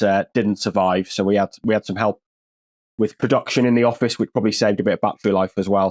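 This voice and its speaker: A man's voice: on the low side, a little mellow, no echo. A man with an English accent, a football journalist co-hosting a podcast, talking in a dry, wry, rambling way with lots of restarts. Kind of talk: casual podcast chat.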